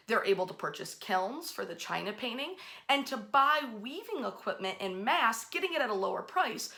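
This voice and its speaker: A woman's voice: on the high side, sharp tone. An American woman telling local history as an informal talk, with an emphatic, enthusiastic delivery. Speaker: history storyteller and host.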